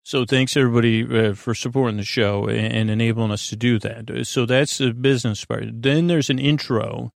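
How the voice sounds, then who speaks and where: A man with a creaky voice hosting a sleep podcast in a meandering, halting monologue that keeps interrupting itself. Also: medium pitch, steady tone, no room sound, clean studio sound. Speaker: podcast host.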